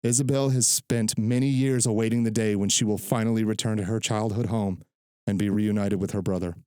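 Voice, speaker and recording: A man reading literary fiction as a narrator, in a measured, dramatic storytelling delivery close to the microphone. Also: lowish voice, fairly even intonation, dark tone, clear, resonant tone, dry recording.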